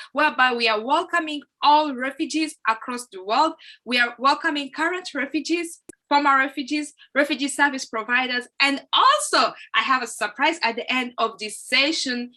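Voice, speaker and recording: A woman's voice: high voice, bright, forceful tone. A young woman, hosting a live video-call session in a chatty, welcoming way with lots of fillers. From Uganda. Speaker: host. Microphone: laptop or phone mic on a video call.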